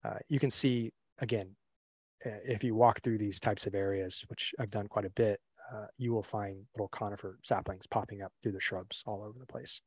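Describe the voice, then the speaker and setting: A young man presenting a webinar on a video call, relaxed and full of fillers and restarts. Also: lowish voice, normal speed, husky, no room sound. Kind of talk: casual slideshow presentation. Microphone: computer mic on a video call.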